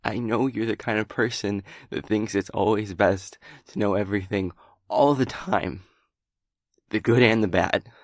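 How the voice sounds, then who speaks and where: Guy acting as a hurt earnest boyfriend in an audio roleplay, low voice, slow, close and dry. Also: no room sound. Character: frustrated boyfriend pleading gently for understanding.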